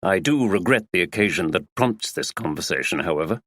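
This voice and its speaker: A man's voice: sits low, expressive, brisk, fairly bright tone, dry recording, studio quality. A man as a courteous, formal male investigator. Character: polite, probing male investigator.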